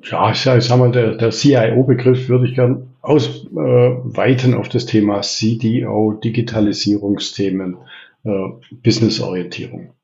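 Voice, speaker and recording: Swabian guy in his 60s, low gravelly voice, relaxed and hesitant, kinda muffled lo-fi audio. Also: measured pace. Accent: Swabian German. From Reutlingen, southern Germany. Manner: relaxed, with frequent hesitations and restarts. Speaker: interview guest, interim executive. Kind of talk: conversational interview answers.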